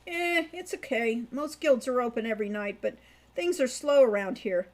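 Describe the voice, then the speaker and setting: A woman's voice: on the high side, moderate pace, natural voice. A woman voicing an awkward, good-natured young male thief in an audiobook, slangy and folksy with a stammer. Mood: easygoing, slangy.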